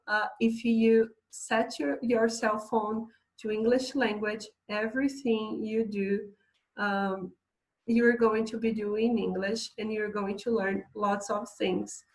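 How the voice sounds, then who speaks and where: Woman, English teacher, chatty, fairly high voice, laptop mic. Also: rough recording. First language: Portuguese. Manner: chatty, reading slides aloud with asides. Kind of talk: online English lesson.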